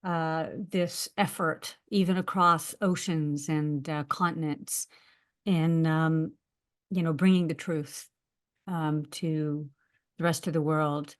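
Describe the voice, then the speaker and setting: A woman's voice: deep voice, steady tone, slow pace. American woman speaking hesitantly on a webinar. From United States. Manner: hesitant, many fillers. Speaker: university lecturer. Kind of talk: webinar talk. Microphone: video call.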